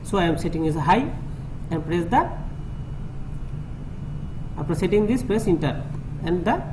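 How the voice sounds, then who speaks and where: A man with a Bangladeshi accent, a native Bengali speaker, giving a halting, repetitive step-by-step technical demonstration in English as a second language. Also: sits high, expressive. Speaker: tutorial presenter. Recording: clearly noisy room recording.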